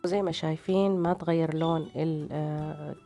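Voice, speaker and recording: A woman's voice: deep voice, steady tone, normal speed, warm tone. A woman with a tired voice, narrating recipes as a cooking host in a casual, hesitant way. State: tired, strained voice. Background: background music.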